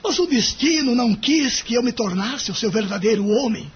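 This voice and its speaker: Man acting a husky, wounded older Brazilian husband. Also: very high-pitched, animated, normal speed, full character voice. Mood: grieving, emotional. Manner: formal, emotional, insistent. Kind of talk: melodramatic audio drama acting. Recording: muffled lo-fi audio.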